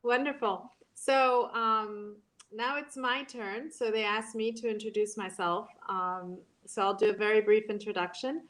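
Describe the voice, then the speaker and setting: A woman, moderating an online panel and talking in a halting way, full of ums and restarts. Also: medium pitch, steady tone, fairly bright tone. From Brazil.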